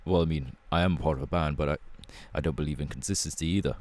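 A man voicing a cocky, laid-back young punk superhero with a London accent, slangy and halting, in an ASMR audio roleplay. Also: very deep voice, a little mellow. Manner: casual, slangy, halting, irreverent.

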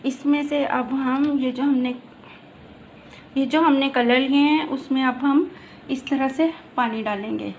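High-voiced woman teaching a home recipe. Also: steady tone, a little slow, darkish tone, a little hiss or hum. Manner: patient, explains each step while working. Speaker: cooking channel host. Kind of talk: step-by-step cooking demonstration. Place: home kitchen.

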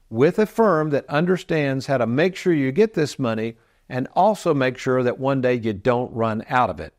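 A man in his sixties presenting financial advice as a show host, folksy and emphatic as he explains to viewers. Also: mid-range voice. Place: TV studio set, indoors.

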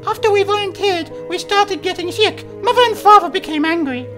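A man's voice: very high voice, fairly quick, music in the background, voice pushed up. A man voicing a sad young female cartoon pig in dramatic game cutscene dialogue. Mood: sorrowful.

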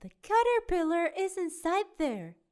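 A reassuring mother cat in a children's story, acted by a woman reader. She explains gently in a high, lilting voice, without hurry. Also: very animated, measured pace, exaggerated voice.

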